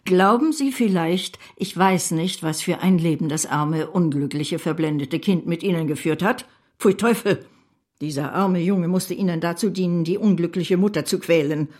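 A woman playing a formidable low-voiced older aunt. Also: moderate pace, a little mellow, lightly acted. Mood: indignant, scornful. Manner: brusque, commanding, emphatic. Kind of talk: German radio drama acting.

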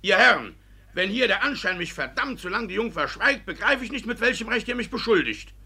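An actor playing a shifty, blustering village judge who evades and protests, in a classic comedy radio play. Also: male voice, high voice, expressive, a little fast, pushed and bright, husky, exaggerated voice. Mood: indignant bluster. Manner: evasive, indignant, blustering. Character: shifty, blustering village judge hiding his own guilt.

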